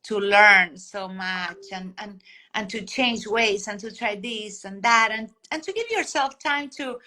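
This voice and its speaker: Bright upbeat woman from Argentina. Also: medium pitch, measured pace. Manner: earnest and upbeat, with many fillers. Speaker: guest, life coach. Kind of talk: casual video interview.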